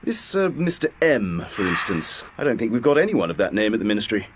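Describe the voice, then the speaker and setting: An actor playing a polite, evasive British military officer in a radio drama, hesitant and officious. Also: male voice, lowish voice, very animated, fairly quick, background noise. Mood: polite, puzzled.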